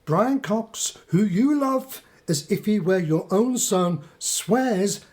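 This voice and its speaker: An actor playing an earnest, pedantic middle-aged British husband who has become a conspiracy believer, in a radio play. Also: male voice, sits high, lively intonation, slow pace, a little mellow. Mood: pleading, insistent.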